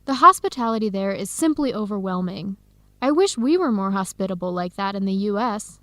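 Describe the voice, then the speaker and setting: An actress playing an earnest young American woman researcher in a radio drama, with clear, expressive, carefully enunciated speech. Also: mid-range voice, a little fast, no echo, close to an everyday voice. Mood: enthusiastic. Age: young adult. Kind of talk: scripted radio drama dialogue.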